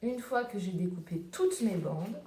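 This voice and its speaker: A woman's voice: medium pitch, lively intonation, normal speed, dark tone. A woman teaching an art lesson to young children from home, explaining each step as she paints and cuts. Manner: step-by-step demonstrating while crafting. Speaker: preschool teacher. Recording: echoey room, faint background noise.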